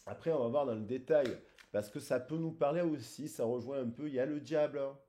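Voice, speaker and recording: A man giving a casual tarot reading, rambling with many pauses and fillers. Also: mid-range voice, warm tone. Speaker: tarot reader.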